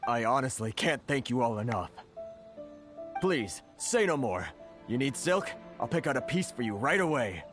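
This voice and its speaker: A man's voice: medium pitch, very animated, moderate pace, lightly acted. Flustered, timid merchant, played by a man. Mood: grateful. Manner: hesitant, deferential. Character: timid, grateful male merchant, earnest and flustered. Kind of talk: video game voice acting. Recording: faint game music under the voice.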